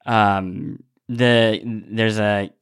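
A man's voice: fairly low pitch, fairly even intonation, drawn-out words, smooth, no room sound, studio quality. A man co-hosting a podcast in casual chat, playful and given to exaggeration. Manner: playful, hyperbolic. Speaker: podcast co-host.

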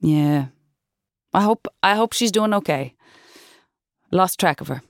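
A woman speaking with a patchy, put-on Dutch-sounding accent, answering interview questions in an improvised comedy with halting, sheepish restarts. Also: lowish voice, animated, gravelly. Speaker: interviewee in a mock documentary.

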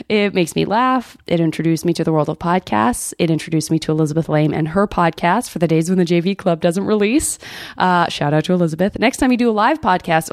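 A woman's voice: sits low, a little mellow, no room sound, clean studio sound. An American woman hosting a podcast, chatting casually and reading out messages in a rambling, effusive way with self-interruptions. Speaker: podcast host. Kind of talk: casual chat, reading out listener emails.

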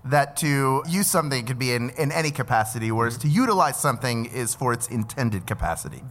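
A man's voice: mid-range voice, lively intonation, a little fast, fairly bright tone. A man competing on a live comedy quiz show, making self-deprecating jokes. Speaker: contestant.

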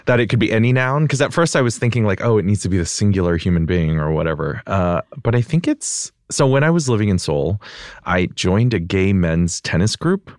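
A man's voice: lowish voice, lively intonation, brisk, darkish tone, dry recording, studio quality. An American man chatting as a podcast guest, reflective and casual, with lots of "like" and "you know" as he thinks aloud. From United States. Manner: reflective, filler-heavy with frequent "like" and "you know". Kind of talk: casual conversational interview.

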